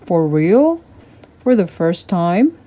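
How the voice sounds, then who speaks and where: Storybook young man played by a female reader: kind, patient, reassuring, gently amused, mellow timbre. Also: lowish voice, sing-song, takes it slowly. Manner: gentle, explaining. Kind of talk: children's storybook character reading.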